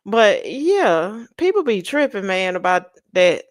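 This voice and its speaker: A woman's voice: mid-range voice, sing-song, slow, no room sound, clean studio sound. A young woman from Texas with a Louisiana-tinged accent, chatting bluntly and sassily with viewers on her livestream. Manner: sassy, blunt, laughing, slangy storytelling. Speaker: livestream host. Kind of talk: casual chat with live viewers.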